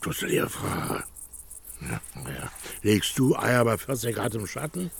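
An actor playing a gruff, slow-witted village chicken keeper in a radio play, grumbling and hesitant in a folksy way. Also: male voice, fairly low pitch, very animated, full character voice. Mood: grumpy, puzzled. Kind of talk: naturalistic radio play acting.

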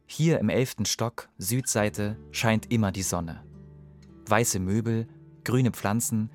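A man narrating a documentary voice-over in a calm, measured way. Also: lowish voice, soft background music.

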